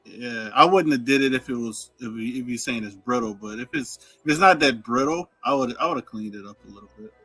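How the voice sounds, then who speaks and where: A man joining a casual live stream, telling stories in an animated way and laughing easily. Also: mid-range voice, brisk, few pauses, breathy edge, no room sound, soft background music, rough recording.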